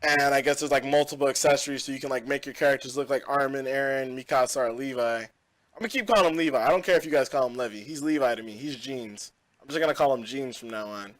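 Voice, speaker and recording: A man's voice: mid-range voice, fast talker, a bit bright. A young man hosting a casual gaming podcast, rambling and opinionated with lots of fillers. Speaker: podcast host. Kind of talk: casual chat.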